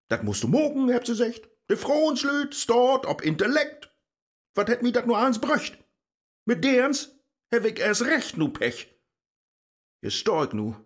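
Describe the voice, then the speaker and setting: An actor playing a frustrated, brooding scholar, declaiming comic rhymed monologue in a northern Low German accent. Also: male voice, slightly rough, full character voice. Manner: theatrical, declamatory, swinging into exclamations.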